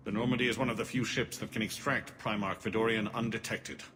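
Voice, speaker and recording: A man playing a formal, measured alien councilor in a video game cutscene. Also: lowish voice, fairly even intonation, a bit bright, slight background noise, natural voice. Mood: grave, measured.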